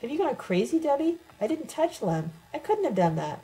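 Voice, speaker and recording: A woman's voice: high-pitched, sing-song, moderate pace, warm tone, pitched above the performer's own voice, low-quality recording. A woman voicing an indignant, hot-tempered woman in an audiobook, angrily protesting and close to hysterics. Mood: distraught. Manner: angry, protesting.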